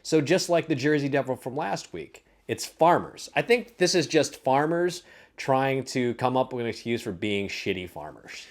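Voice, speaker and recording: Male comedian co-host, mid-range voice, animated joking storyteller. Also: medium pitch, moderate pace. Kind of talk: casual podcast chat.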